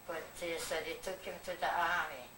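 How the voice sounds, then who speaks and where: A woman's voice: low-pitched, fairly even intonation, normal speed. An elderly woman whose first language is Yiddish, reminiscing about her youth in Eastern Europe in a rambling home video interview. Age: older. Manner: rambling, reminiscing, often asks to repeat. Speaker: grandmother recalling her youth. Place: family home. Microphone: home video camera from across the room. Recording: echoey, distant, noisy home video.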